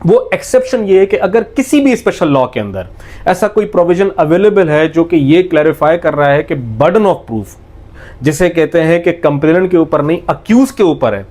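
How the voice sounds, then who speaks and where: Higher-pitched Pakistani man lecturing step by step. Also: expressive, normal speed, a little mellow. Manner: didactic, step-by-step, emphatic restating. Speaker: law lecturer. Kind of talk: explanatory lecture mixing in English legal terms.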